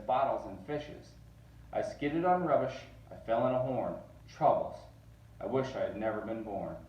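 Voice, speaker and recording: A man reading a rhyming children's story aloud in an expressive, narrating way. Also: medium pitch. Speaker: storybook reader. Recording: roomy, distant, dull sound.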